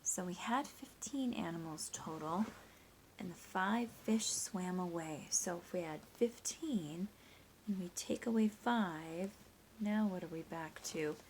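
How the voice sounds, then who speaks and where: A woman's voice: lowish voice, slow, a little mellow, a little hiss or hum, poor audio. A woman teacher reading a picture book expressively and walking young listeners through simple sums step by step, prompting them with questions. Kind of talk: expressive storybook read-aloud mixed with simple math teaching.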